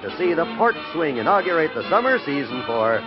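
A male radio announcer with a lively, swinging delivery in 1950s scripted style. Music plays behind him on a muffled vintage broadcast. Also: fairly high pitch, brisk.

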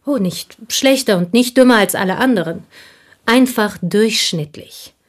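An actress in a German radio play, playing an intense, embittered provincial Frenchwoman making a fervent, halting confession in a husky, breathy voice. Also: mid-range voice, natural voice. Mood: bitter, intense. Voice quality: husky, breathy, dropping into whispers. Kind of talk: German radio play acting, dramatic monologue.

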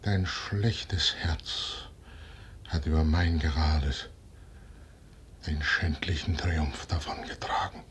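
An actor playing a proud, brooding general in a classical German radio play, declaiming dramatically in verse with a husky voice. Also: male voice, very deep voice, slow, long pauses, slight background noise. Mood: bitter, wounded. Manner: declamatory, dramatic, shifting from outbursts to hushed brooding. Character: proud, commanding general, betrayed and brooding, superstitious.

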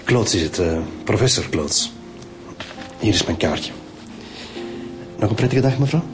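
Radio drama male professor: deep register, polite customer manner, clear music in the background. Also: expressive, a little slow. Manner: polite, formal. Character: courteous, eccentric male professor visiting a shop.

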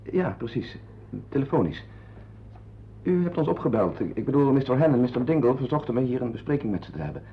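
An actor playing a self-important, opinionated husband in a radio drama, confiding in listeners in a wordy way and hesitant with strangers. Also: male voice, mid-range voice, very animated, brisk, husky. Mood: hesitant, awkward. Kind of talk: radio drama acting with narrated asides. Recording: dull, lo-fi old radio play recording.

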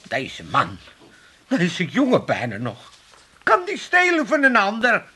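A man's voice: high-pitched, huge pitch swings, a little slow, sharp tone, slightly rough, exaggerated voice. An actor playing a blustering, indignant old working-class father with an Amsterdam accent in a comic 1980s radio drama. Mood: indignant pleading. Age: older. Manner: indignant, bickering, mock-pompous when pleading.

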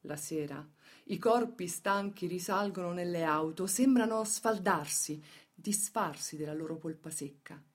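Low-pitched woman narrating radio theatre. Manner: measured, emphatic, phrases set apart by pauses. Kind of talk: dramatic scripted narration, documentary monologue.

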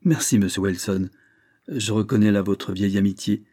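Heartbroken noble guy, quiet and desperate, low husky voice, man reading. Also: moderate pace, dry recording, studio quality, natural voice. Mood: despairing. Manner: hushed, despairing. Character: anguished, lovesick older brother, a reserved lord.

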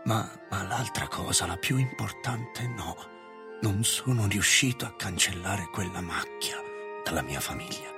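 A very old, regretful patriarch in a radio play. His voice is low and he speaks haltingly, brooding and wistful. Music plays clearly behind him. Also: expressive. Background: prominent music.

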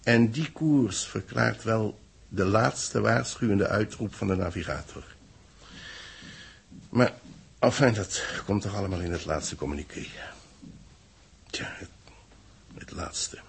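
An actor playing a grave space-mission official in a 1960s radio play, speaking solemnly and deliberately in a husky, gravelly voice. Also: male voice, fairly low pitch, animated, heavily acted. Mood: grave, resigned.

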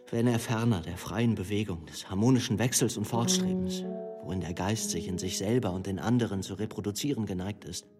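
A man giving a solemn, measured and formal literary reading of philosophical prose. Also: on the low side. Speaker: reader. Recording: long reverberant hall, music underneath.

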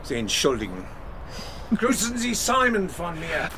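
An actor playing an irritable, resentful older British ex-soldier, curt and testy, in a German radio crime drama. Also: male voice, on the high side, wildly swooping pitch, measured pace, noisy background, full character voice.

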